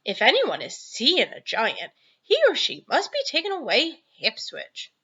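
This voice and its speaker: A woman voicing a big, friendly, excitable giant from a children's storybook, earnest and gentle, with emphatic, drawn-out words and folksy muddled grammar. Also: fairly high pitch, slightly rough, dull audio, pitched above the performer's own voice, heavily acted. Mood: earnest explaining. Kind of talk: children's storybook read-aloud.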